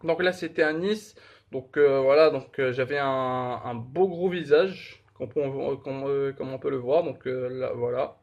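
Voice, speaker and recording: A man's voice: mid-range voice, a little slow, lo-fi sound. A young man from Morocco talking casually in a vlog, hesitant, with many fillers and restarts, candid and self-deprecating. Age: young adult. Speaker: fitness vlogger.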